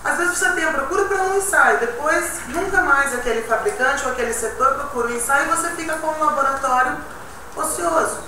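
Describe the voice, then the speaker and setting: A woman's voice: sits high, fairly quick. A woman arguing and explaining at a meeting, tagging her points with "né". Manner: explanatory, argumentative, with many "né". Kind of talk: discussion at a meeting. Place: meeting room. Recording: echoey room from far off, noisy.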